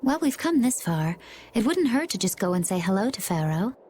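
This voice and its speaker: An actress playing a composed, mysterious woman adventurer in an anime-style game, speaking calmly. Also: mid-range voice, a little fast, slight background noise, lightly acted.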